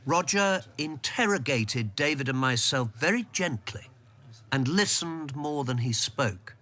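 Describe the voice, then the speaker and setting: An actor playing a reserved, careful English civil servant who also narrates, in a radio drama. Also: male voice, mid-range voice, animated, unhurried, bright, forceful tone. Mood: reflective. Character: guarded, principled British civil servant and narrator.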